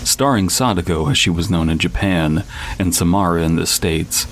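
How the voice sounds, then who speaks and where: Deep-voiced man narrating, faint background noise. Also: normal speed. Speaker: podcast host. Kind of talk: scripted narration.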